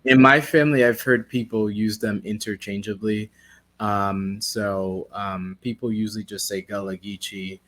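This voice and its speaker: A man's voice: fairly low pitch, steady tone, normal speed, fairly bright tone. A young man, a guest in a casual interview, talking in a hesitant way with many fillers.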